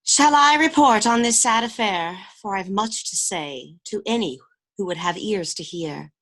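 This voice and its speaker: A woman's voice: medium pitch, animated, measured pace, a bit bright. Woman playing theatrical, bitter, mournful castaway. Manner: theatrical, declamatory. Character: bitter, mournful castaway with archaic, florid speech. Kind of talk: absurdist radio play acting. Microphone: video call.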